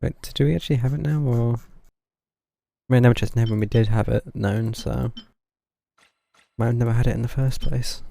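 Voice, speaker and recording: A man's voice: medium pitch, fairly even intonation, moderate pace, vocal fry, pauses often, warm tone. A man streaming a game, giving casual live commentary and muttering half to himself.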